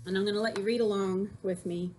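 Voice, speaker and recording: A woman teacher reading aloud expressively and explaining things simply for children, with an animated, folksy delivery. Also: mid-range voice, fast talker, slight background noise. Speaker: teacher reading poems to grade-school children.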